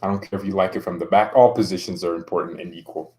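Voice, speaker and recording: A man's voice: deep voice, fairly even intonation, fast talker, a little mellow. A young man casually streaming about football, joking around and reading out chat comments. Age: young adult.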